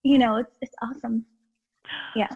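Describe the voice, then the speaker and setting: A woman's voice: a little high, moderate pace, gravelly, slightly rough, dull audio. Earnest woman, chatty. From Guyana. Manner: animated and earnest, many "you know"s and restarts. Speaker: guest, healer and educator. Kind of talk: casual interview chat.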